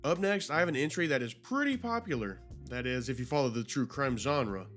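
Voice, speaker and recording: A man's voice: mid-range voice, expressive, brisk, a bit bright, soft background music. A man hosting a video commentary, narrating in an informal, slangy, conversational way. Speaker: YouTube host.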